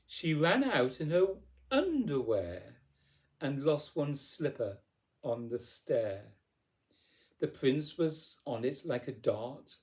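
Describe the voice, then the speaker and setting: A man reads storybook verse in a theatrical narrating style. His tone is soft-edged and mellow, and he takes his time with deliberate phrasing. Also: medium pitch, sing-song. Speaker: poetry reader.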